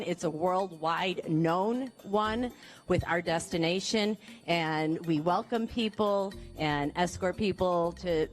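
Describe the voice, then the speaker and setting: A woman giving a heartfelt thank-you speech to staff at a gathering, slightly halting in places. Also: on the low side. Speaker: airport director giving a speech.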